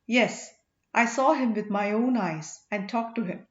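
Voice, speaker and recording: Lady narrator doing a loyal teasing boatman in a storybook, highish voice, echoey dull sound. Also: measured pace, lightly acted. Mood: earnest, secretive.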